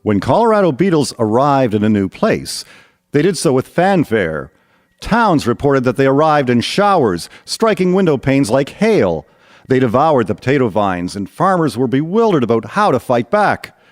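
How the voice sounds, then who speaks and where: A man's voice: medium pitch, very animated, normal speed, no echo, clean studio sound. A man narrating a scripted historical radio drama in a storytelling style.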